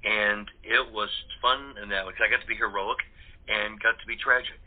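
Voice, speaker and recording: A man's voice: fairly low pitch, fairly even intonation, normal speed. Earnest man on a tinny phone line. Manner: earnest, rambling, repeats words. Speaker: voice actor, interview guest. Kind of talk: casual interview chat.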